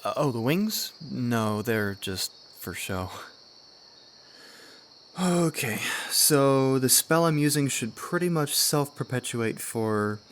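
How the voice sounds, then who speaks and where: A man's voice: mid-range voice, animated, slow, fairly bright tone, a little hiss or hum. A man playing an earnest, eager young unicorn student of magic in a fan radio drama. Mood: friendly, explaining. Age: young adult.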